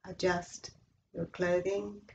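A woman leading a guided yoga relaxation meditation in a slow, measured, soothing way with long pauses. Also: lowish voice, flat delivery, vocal fry, a little mellow, dull audio, a little hiss or hum. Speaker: yoga nidra instructor.

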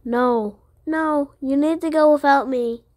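A woman's voice: sits high, takes it slowly, a little mellow, dry recording, studio quality. A woman voicing a weary, earnest female cartoon rabbit in an amateur game cutscene. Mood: resigned, urgent. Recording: music behind the voice. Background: music.

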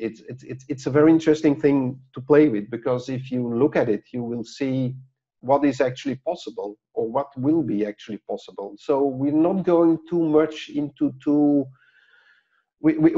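A man's voice: medium pitch, normal speed, warm tone. A middle-aged man whose first language is Dutch, a book author talking casually on a video call, rambling with many fillers and restarts. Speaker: author and guest. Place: office with branded backdrop. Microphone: lapel mic on video call. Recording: dull lo-fi video call audio.

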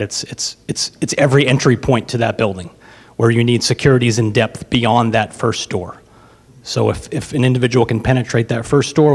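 A man's voice: medium pitch, breathy edge. A man making an earnest point from the audience at a town meeting, often repeating words as he thinks. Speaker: audience member. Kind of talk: public comment at a town meeting. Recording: hall reverb in an auditorium.

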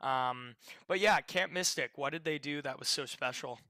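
A man's voice: fairly high pitch, moderate pace, pushed and bright, no echo. A man in his thirties, a podcast host, chatting in a reflective way over a headset on a video call from a small room. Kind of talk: casual interview. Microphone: over-ear headset on video call.

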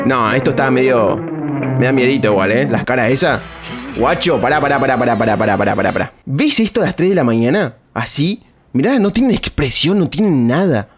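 A man's voice: mid-range voice, animated, fairly quick. A man with an Argentine accent giving casual live reaction commentary, exclaiming in slang and repeating his phrases. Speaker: streamer reacting to video. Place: indoor room at streaming desk. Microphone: close desk microphone on boom arm. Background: cartoon music.

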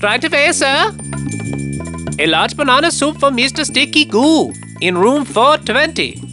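A woman's voice: sits high, very animated, a little slow, music in the background. A woman voicing an earnest, polite young boy who runs a hotel in a children's cartoon. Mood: polite, businesslike. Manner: polite, earnest, service-minded.

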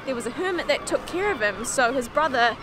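A woman's voice: high voice, expressive, brisk, crowd noise, background noise. A woman in her thirties vlogging on a trip, casually explaining local history. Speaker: travel vlogger. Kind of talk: casual travel vlog chat. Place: outdoors on island and village streets. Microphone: camera mic.

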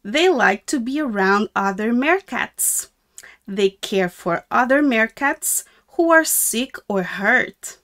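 A woman storyteller reads aloud for young children in a high, very animated voice. She is deliberate and sing-song, with exaggerated intonation and an unhurried, slow delivery. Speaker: storyteller reading a picture book aloud to children.

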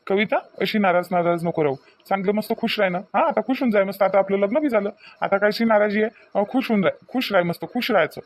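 A man's voice: sits high, quick pace, hardly any pauses, no echo, close to an everyday voice. A man voicing a young, reassuring newlywed village husband in a homemade cartoon, speaking colloquially with a Vidarbha Marathi accent. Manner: colloquial, repeats himself soothingly. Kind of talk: homemade cartoon family drama voice acting.

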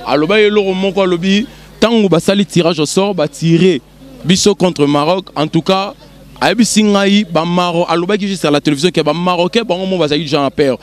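A Congolese man in his thirties interviewed on the street about football, earnest and halting, speaking into a reporter's handheld mic outdoors. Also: sits high. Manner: earnest, repeats himself, halting.

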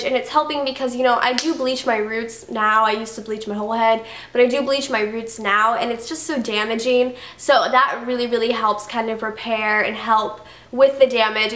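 A woman's voice: fairly high pitch, a bit bright, echoey room. A young woman with a stuffy cold chatting casually through a beauty favorites review, gushing and filling her talk with "like". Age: young adult. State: congested from a cold. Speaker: beauty vlogger. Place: bedroom, indoors.

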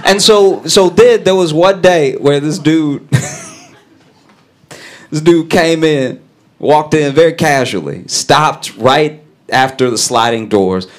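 A young man telling a stand-up story on a club stage into a handheld mic, animated and laughing as he acts out characters. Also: slow. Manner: animated, laughing at his own story, acting out characters in low voices. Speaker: stand-up comedian. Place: brick-walled comedy club stage.

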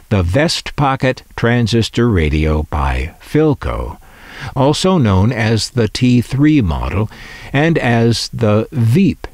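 An American man narrating a scripted documentary-style video as its host, with a wry, opinionated, measured manner. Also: medium pitch, a little mellow, dry recording. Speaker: host of a vintage radio collecting channel.

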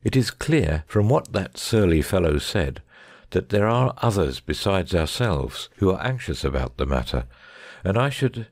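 Audiobook drama: cool Victorian detective male actor, low and expressive voice, unruffled, close dry recording. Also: a little slow, no room sound, full character voice. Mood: pleased, musing. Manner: careless, bland, unruffled. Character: shrewd, self-assured Victorian gentleman detective.

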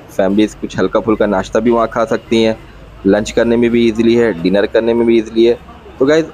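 A man's voice: mid-range voice, darkish tone, poor audio. A man in his thirties from India, casually narrating a family travel vlog at a roadside restaurant in the evening and recorded on a phone camera. He is chatty, repeats his points, and mixes English words into Hindi.

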